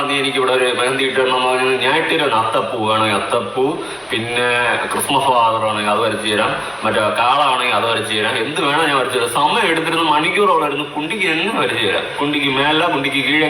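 Sarcastic scolding man, bright forceful voice. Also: medium pitch, fast. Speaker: reaction video commentator. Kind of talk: informal reaction commentary. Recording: roomy, distant mic, faint noise.

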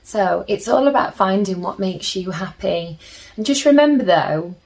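A woman in her thirties reading a picture book aloud to children in a gentle, deliberate manner, with chatty asides. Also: medium pitch, lively intonation, vocal fry, poor audio. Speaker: children's story reader. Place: small plain room indoors.

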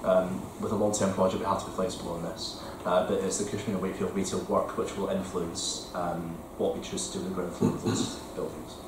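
A man answering councillors' questions at a meeting in a measured, explanatory way with frequent ums. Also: sits low, level tone, heavy background noise. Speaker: council regeneration officer. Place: large council committee room. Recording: echoey committee room from far off.

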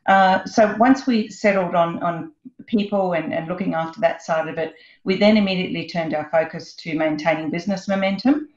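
A woman executive giving a measured, composed answer on a webinar panel over a video call. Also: lowish voice, darkish tone. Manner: measured, composed corporate delivery. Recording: roomy, dull video-call audio.

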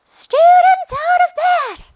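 A mischievous male storybook poltergeist, acted out by a woman, pitched very high and sing-song. He taunts with cackling glee and mock innocence. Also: big pitch swings, slow pace, noisy background, full character voice. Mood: bellowing alarm.

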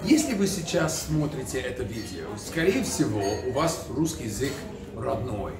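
A man in his fifties hosting a casual advice vlog, speaking straight to the camera in a restaurant room with a lively, direct manner. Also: medium pitch, moderate pace, distant mic. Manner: lively, direct, talks with his hands while holding a glass. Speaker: video host. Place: indoors in a decorated restaurant room. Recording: roomy, a little off-mic, music underneath.

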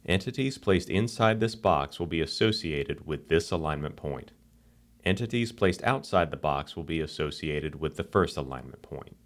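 A man with a very deep voice narrates a software screencast. He reads a script in a calm, even, instructional way, walking through each step without hurry.

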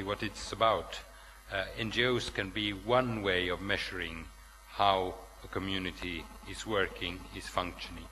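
A middle-aged man on a panel, speaking deliberately with many fillers, on a conference hall stage. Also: lowish voice, bright, forceful tone. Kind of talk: panel discussion answer. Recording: reverberant hall with faint hum.

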